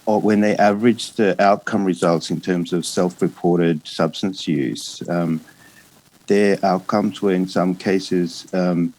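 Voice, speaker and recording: An Australian man in his fifties delivers a conference lecture in a deep, mellow voice. His delivery is hesitant and halting, with many pauses and restarted words, and his intonation stays quite flat. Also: rough recording. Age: 50s. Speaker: psychiatrist and addiction specialist giving a lecture.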